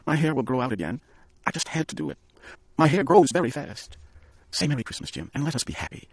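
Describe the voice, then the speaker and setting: A man's voice: medium pitch, sing-song, quick pace, slightly rough, dry recording, pitched above the performer's own voice. A man voicing a loving, anxious young wife in a story reading, in a raised pleading voice.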